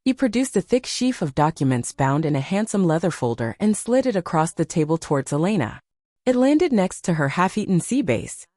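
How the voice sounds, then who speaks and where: A woman's voice: on the low side, sing-song, fairly quick, warm tone, no room sound, clean studio sound. A woman reading a dramatic scripted story as an audiobook narrator.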